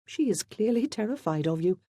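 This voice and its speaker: Spirited, frank period heroine, voiced by a woman narrator; audiobook, higher animated pitch, mellow tone. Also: brisk, no room sound, studio quality, lightly acted. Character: candid, spirited woman, enthusiastic romance heroine.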